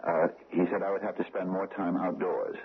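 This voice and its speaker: An actor playing a timid, fussy middle-aged bookkeeper who stammers nervously, in an old radio drama. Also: male voice, low-pitched, little pitch movement, moderate pace, slight background noise. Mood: fretful. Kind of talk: 1970s radio drama acting. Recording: tinny old radio broadcast.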